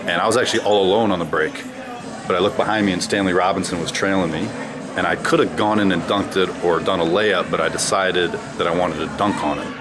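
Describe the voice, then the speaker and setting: A man's voice: deep voice, fairly even intonation, a little fast. A man in his thirties who grew up in China, a former basketball player telling stories from his career in an interview. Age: 30s. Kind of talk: interview answers, storytelling. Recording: loud music underneath.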